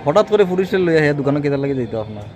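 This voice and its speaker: A man's voice: fairly high pitch, big pitch swings, rapid-fire, crowd noise. A man with a Tripura Bengali accent working as a field reporter, asking short interview questions.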